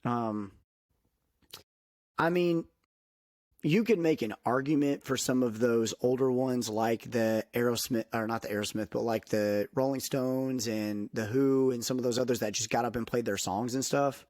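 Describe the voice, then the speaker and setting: Young guy podcast host, rambly, says like a lot, mid pitch, dry close sound. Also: steady tone, normal speed, a little mellow, no echo. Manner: rambling, filler-heavy, frequent restarts. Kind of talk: casual chat.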